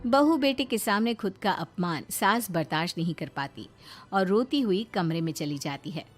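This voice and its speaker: A woman narrating a family story in an expressive, dramatized storytelling style. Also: fairly low pitch, moderate pace, faint music behind.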